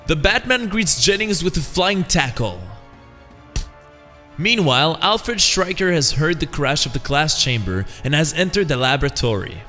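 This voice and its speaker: A man's voice: fairly high pitch, very animated, normal speed, pushed and bright, in a large hall, faint music behind. A man narrating a comic-book read-along in a theatrical, emphatic style.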